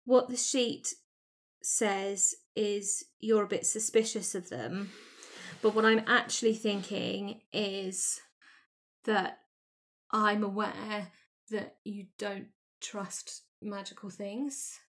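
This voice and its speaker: A woman casually discussing her character as a tabletop player, explaining in measured short phrases. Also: medium pitch, steady tone. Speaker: player on an actual-play show.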